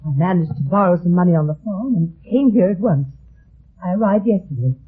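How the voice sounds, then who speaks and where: A woman's voice: on the low side, lively intonation, a little fast, breathy edge. An actress playing an anxious, earnest young woman pleading for help in an old radio mystery. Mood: worried, earnest. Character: anxious, earnest young woman seeking her missing brother. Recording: tinny, narrow-band old radio recording.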